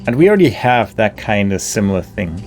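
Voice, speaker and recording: A man commentating his gameplay, thinking aloud as he weighs options, with pauses and fillers. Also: lowish voice, sing-song, a little mellow, soft background music. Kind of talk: thinking aloud while playing.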